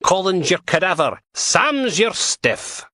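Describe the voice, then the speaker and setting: A man's voice: high voice, very animated, takes it slowly, bright, forceful tone, no echo, pitched above the performer's own voice, exaggerated voice. A man voicing a blunt, foul-mouthed female police dog handler who talks crudely and scornfully. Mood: blunt, scoffing.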